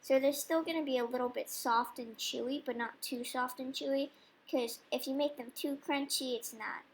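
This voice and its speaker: A woman's voice: high-pitched, moderate pace, slightly rough. A young woman casually explaining step by step how she makes dog treats. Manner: chatty, relaxed, explains each step. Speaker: vlogger baking dog treats. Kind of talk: casual cooking demonstration voice-over.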